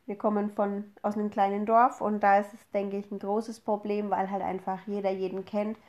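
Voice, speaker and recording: A woman's voice: mid-range voice, flat delivery, normal speed, darkish tone. Woman in her thirties, casual podcast co-host. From Upper Franconia, Germany. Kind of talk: casual conversational podcast talk.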